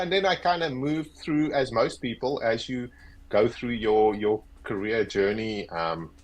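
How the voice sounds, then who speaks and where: A man's voice: fairly low pitch, breathy edge. A South African man in his fifties, a guest talking about his career in an easygoing, self-deprecating, rambling way over earbuds on a video call. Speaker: guest, agile coach. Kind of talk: casual interview chat.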